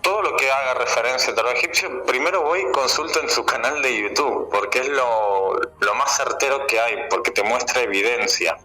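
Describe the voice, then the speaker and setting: A man's voice: mid-range voice, moderate pace, hardly any pauses, sharp tone, low-quality recording. A man with a Buenos Aires Argentine accent, talking casually as a card-reader guest in a radio interview.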